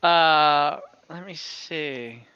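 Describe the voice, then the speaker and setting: A man narrating an online tabletop game as game master, improvising in a halting way with pauses mid-sentence. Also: on the high side, pushed and bright, clean tone, no room sound, muffled recording. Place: indoors, online voice call.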